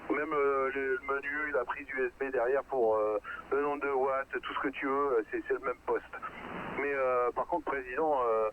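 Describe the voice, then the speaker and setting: A man's voice: mid-range voice, steady tone, moderate pace. A man chatting informally about technical matters in colloquial speech. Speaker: radio hobbyist taking part in a group conversation. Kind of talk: casual technical chat. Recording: tinny radio-like line with background noise.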